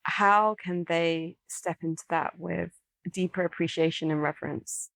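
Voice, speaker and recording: Woman podcast host, lower register, close dry sound; reflective questions, thoughtful pauses. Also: fairly even intonation, creaky, a bit bright, dry recording, rough recording. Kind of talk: reflective, conversational interviewing.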